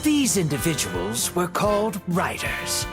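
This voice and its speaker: A man narrating a video game story in a measured, dramatic storybook style. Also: high-pitched, huge pitch swings, over music.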